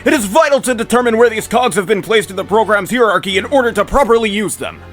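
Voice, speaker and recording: A man's voice: sits high, expressive, fast, a bit bright, soft background music. A man voicing a megalomaniac mad scientist villain who lectures in a grandiose, sneering way, for a fan comic dub.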